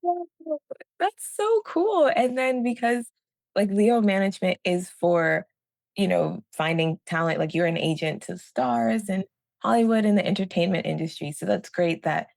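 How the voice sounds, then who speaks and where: A woman's voice: mid-range voice, normal speed, creaky, darkish tone, no room sound. A woman hosting an interview, asking casual questions and reacting in a friendly, upbeat way. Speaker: interview host.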